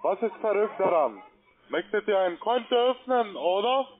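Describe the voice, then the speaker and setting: A non-native man with a foreign accent making a halting sales call in broken grammar as a call-center agent. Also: high-pitched, expressive. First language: not German. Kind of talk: phone sales talk. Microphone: telephone. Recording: tinny narrow-band phone line.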